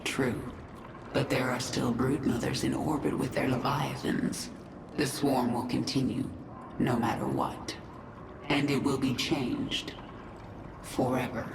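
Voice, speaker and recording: A woman's voice: extremely low pitch, steady tone, slow, creaky, background noise, exaggerated voice. An actress playing a vengeful alien swarm queen in a video game, cold, resolute and commanding, with a layered processed voice. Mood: resolute, reflective. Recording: echoey, distant, under loud game music.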